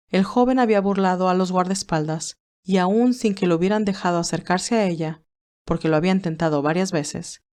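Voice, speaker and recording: A woman narrator reading a romantic drama as a scripted audiobook, in a medium-pitched voice. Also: a little mellow.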